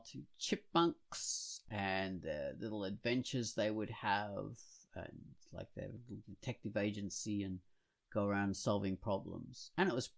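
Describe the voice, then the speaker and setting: A man's voice: lowish voice, creaky. A man co-hosting a podcast in casual, conversational discussion. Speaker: podcast co-host.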